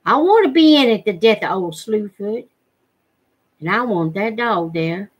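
A big backwoods man from a storybook haggles bluntly and suspiciously, voiced by a woman reader. The delivery is insistent, at a slow pace. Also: mid-range voice, expressive, slightly rough. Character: huge hairy backwoods man, pushy, suspicious trader.

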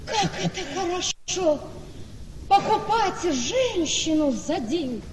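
An actress playing a naive, devoted young wife in a radio play, speaking with earnest, easily wounded theatricality. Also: female voice, very high-pitched, sing-song, slow pace, heavily acted. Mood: offended. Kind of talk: Russian classic radio play acting. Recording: echoey hall, distant mic, faint noise.

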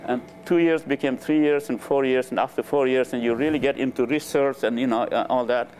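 A man's voice: mid-range voice, fairly even intonation, fairly quick, a little mellow, low-quality recording. Older guy from nepal, first language nepali, lots of uhs and restarts, lapel mic in a classroom, slight hiss. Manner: hesitant, many fillers and restarts. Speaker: interviewed scientist. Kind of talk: relaxed conversational storytelling. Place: lecture room with a small audience.

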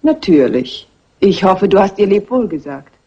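A woman's voice: mid-range voice, very animated, normal speed, mellow, husky. A woman playing a self-assured, willful young wife in a German film dub, cool, provocative and imperious. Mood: cool, accusing. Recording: dull, muffled vintage film sound.

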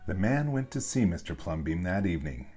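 A man's voice: deep voice, sing-song, fairly quick, dark tone, faint music behind. A man reading a children's storybook aloud as scripted narration. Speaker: storybook reader.

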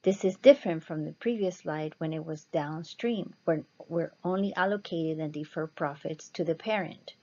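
A woman instructor explaining slides step by step in a measured lecture style. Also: sits low, mellow. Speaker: accounting instructor. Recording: dull, muffled lo-fi voice-over.

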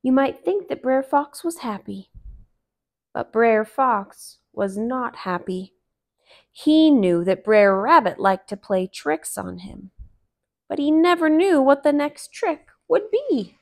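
Slow, expressive woman reading a storybook. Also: high-pitched, gravelly. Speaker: storybook reader. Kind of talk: children's read-aloud narration. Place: small living room, indoors on a couch.

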